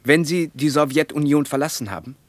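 An actor in a German radio play, playing a guarded, teasing young Russian teacher and reserve lieutenant. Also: male voice, on the high side, a little fast, dry recording, lightly acted. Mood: teasing, provocative.

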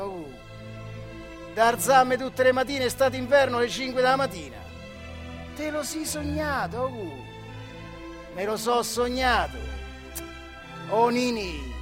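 An actor with a central Italian Marche dialect playing old factory workers, wistful and bickering comrades, in a theatrical radio monologue with an earthy, colloquial manner. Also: male voice, extremely high pitch, heavily acted. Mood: agitated, calling out. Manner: colloquial, earthy, shifting between voices in dialogue.

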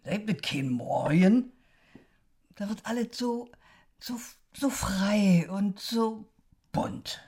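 Drunk, slurring neighbor. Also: female voice, mid-range voice, animated, dark tone, breathy edge, exaggerated voice. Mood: dreamy. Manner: slow, slurred, dreamy whispering. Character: drunken warm-hearted female neighbor.

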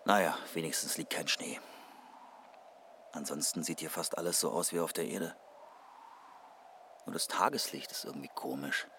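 A man's voice: rumbling low, moderate pace, pauses often, bright, forceful tone, a little hiss or hum. An actor playing a hapless, grumbling man in a German radio drama, hesitant and dryly sarcastic. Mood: muttering, grumbling. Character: hapless, grumbling male client on an absurd quest.

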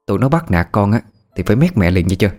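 A man's voice: lowish voice, sing-song, quick pace, darkish tone, faint music behind. A man voicing a harsh, two-faced stepmother who scolds and threatens the children in a folk tale. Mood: sugary. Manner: sweet-talking, threatening.

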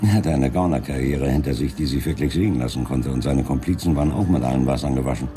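A seasoned chief inspector with an extremely low voice. He talks with dry, deliberate authority, like someone used to command. It is a crime radio play with clear music running behind the dialogue. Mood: musing, authoritative. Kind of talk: 1980s German crime radio drama acting.

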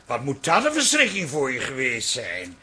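An actor playing a wounded, sulky husband, sarcastic and melodramatic, in a radio comedy drama. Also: male voice, mid-range voice, huge pitch swings, moderate pace, pushed and bright, slightly rough, heavily acted.

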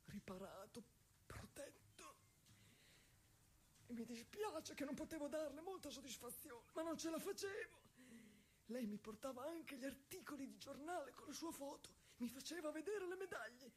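A woman playing a gentle, wistful husband confined in an asylum, in an intimate stage monologue with halting, simple, repetitive, emotive phrasing. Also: high-pitched, a little hiss or hum. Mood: fond, wistful.

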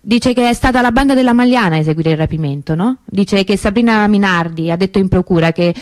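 An actress playing the grieving, bitter sister of a kidnapped girl in a radio monologue, measured and emphatic. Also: mid-range voice, dry recording, lightly acted. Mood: grave recounting.

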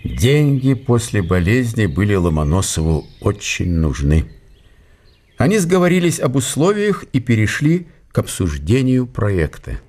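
A man's voice: fairly low pitch, big pitch swings, measured pace, a little mellow. A man narrating a historical radio play in a scripted literary style.

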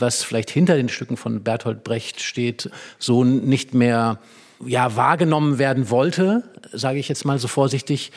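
A man's voice: mid-range voice, a little mellow. Reflective man explaining as expert guest. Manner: reflective, careful phrasing with pauses. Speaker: expert guest, dramaturg and writer. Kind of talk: explanatory stage conversation. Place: hall with a live audience.

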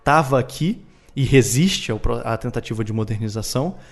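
A man's voice: medium pitch, expressive, normal speed. A Brazilian man speaking as a guest historian, explaining conversationally and restarting phrases for emphasis.

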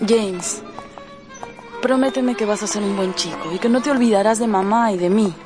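A woman's voice: medium pitch, normal speed, close to an everyday voice. A woman playing a naive, lovestruck young actress in a radio drama, tender, girlish and theatrical. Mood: playful, affectionate. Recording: music behind the voice.

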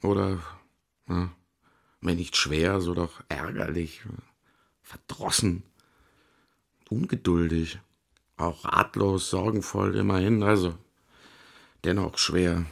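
An actor playing a troubled, self-justifying artist and ladies' man in a radio play, confiding in a halting, theatrical way. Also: low-pitched, fairly even intonation, creaky, full character voice.

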